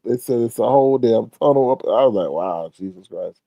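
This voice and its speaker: A congested man hosting a casual gossip commentary stream, animated and slangy, laughing and jumping high on his reactions. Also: fairly quick, gravelly, no room sound. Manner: animated, laughing, loud pitch jumps on reactions; slangy, says "child" often. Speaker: commentary channel host.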